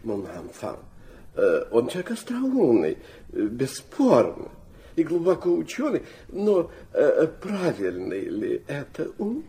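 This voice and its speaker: Radio drama, flighty elderly prince, high-pitched and creaky, rambling fondly with bits of French. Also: male voice, wildly swooping pitch, slow pace, warm tone, heavily acted. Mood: rambling, animated. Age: older. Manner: hesitant, rambling, stammering, drops in French phrases. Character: doting, rambling old Russian prince, sentimental and flighty. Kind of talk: radio play acting.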